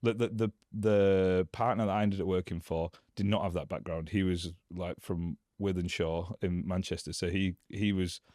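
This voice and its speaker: A man's voice: deep voice, fairly even intonation, moderate pace, few pauses, a little mellow, no echo, clean studio sound. A young man with a northern English accent, chatting as a guest in a casual interview, rambling with lots of fillers and restarts. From Yorkshire, England.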